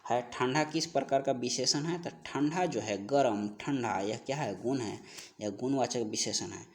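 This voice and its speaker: A man's voice: mid-range voice, unhurried. A man giving an instructional exam-prep lesson, reading out questions and repeating the answers for emphasis in a didactic way.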